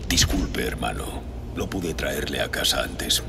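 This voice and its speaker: Noble game warlord: low, ceremonious, solemn delivery over loud music. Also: male voice, lively intonation, measured pace, exaggerated voice. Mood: gentle, regretful. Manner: formal, ceremonious. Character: noble, stately male chapter master of space marines. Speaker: voice actor. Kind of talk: solemn video game cutscene acting. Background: loud cinematic music.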